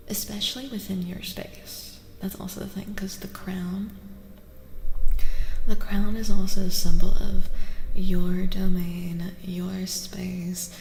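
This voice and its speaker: A soft-spoken woman gives an ASMR reading in a gentle, deliberate way, often dropping into a whisper. Her pace is unhurried and her voice has a creaky edge. The sound is reverberant. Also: medium pitch, flat delivery. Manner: gentle, deliberate, repeats phrases. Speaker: streamer and tarot reader. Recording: roomy reverberant sound, faint noise.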